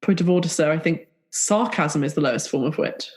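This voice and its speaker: A woman playing a cheeky, eager spy with a halting delivery in an improvised audio roleplay. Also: deep voice, noisy background, natural voice. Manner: cheeky, halting, pun-loving. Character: cheeky, eager female spy codenamed Pigeon.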